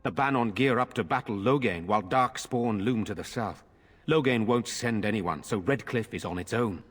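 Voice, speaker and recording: Earnest fantasy-game nobleman defending his village, low husky voice, formal and gallant, long reverb. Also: moderate pace, a bit bright. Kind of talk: fantasy video game dialogue.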